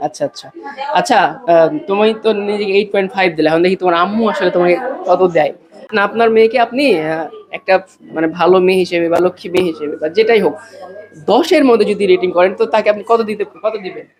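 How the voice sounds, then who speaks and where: A man's voice: sits high, fast talker, a crowd in the background. A man with a Bangladeshi accent interviewing casually as a podcast host, repeating answers back and dropping in English phrases. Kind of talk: casual interview, questioning a child.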